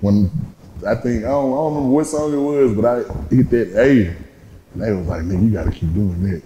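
A man's voice: a little fast, mellow, slight background noise. A man, a rapper talking casually about his life in a laid-back, slangy way. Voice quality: deep voice. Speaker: rapper guest. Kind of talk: casual interview. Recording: echoey room.

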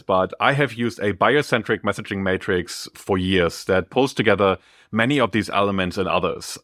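A man reading a scripted business training article as narrator, in a clearly articulated, instructive way. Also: on the low side, fairly bright tone, no room sound, studio quality.

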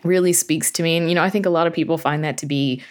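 Low-voiced woman in casual interview. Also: fairly even intonation, fast. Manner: chatty, informal, thoughtful explanations. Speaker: interview guest, horror fiction podcast creator.

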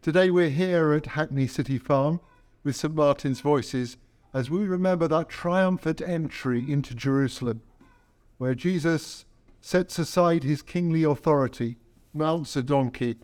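Higher-pitched man leading a church service. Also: a little slow, a little mellow. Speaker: priest leading a Palm Sunday service. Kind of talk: sermon and liturgy.

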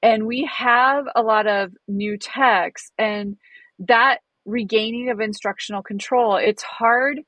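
A woman's voice: fairly high pitch, steady tone, slow, fairly bright tone, no echo. A woman co-hosting a podcast, chatting casually and rambling, often restarting and laughing at her own nerves. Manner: self-deprecating, rambling with restarts. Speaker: podcast co-host. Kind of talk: casual chat.

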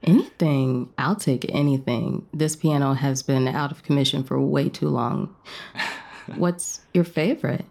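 A woman's voice: extremely low pitch, measured pace, gravelly, a little mellow, heavily acted. A woman acting as a friendly, teasing diner owner in a romance audio drama, with a casual, bantering manner. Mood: teasing, encouraging. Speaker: guest voice actor in an audio romance.

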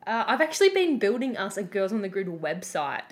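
Aussie woman podcast host, teasing, quick bursts of talk. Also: mid-range voice, very animated. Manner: bubbly and teasing, fast bursts. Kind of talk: casual chat.

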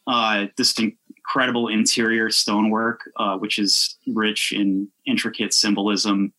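A man chatting casually as a podcast guest, telling things informally in a halting way with frequent self-interruption. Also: fairly low pitch, level tone, sharp tone, poor audio. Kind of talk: casual chat, informal storytelling.

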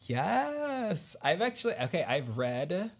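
Lively male podcast host. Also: high voice, gravelly, breathy edge. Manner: enthusiastic, informal, quick to laugh. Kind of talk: casual interview chat.

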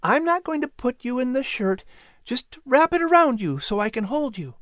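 A man voicing an eager, gentle young boy with earnest wonder in a storybook. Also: extremely high pitch, sing-song, normal speed, no echo, clean studio sound, pitched above the performer's own voice, heavily acted. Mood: soothing.

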